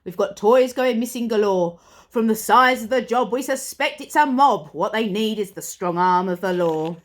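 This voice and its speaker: A woman voicing a brisk, confident male policeman from a children's storybook, commanding and emphatic. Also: mid-range voice, close to an everyday voice. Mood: urgent, commanding.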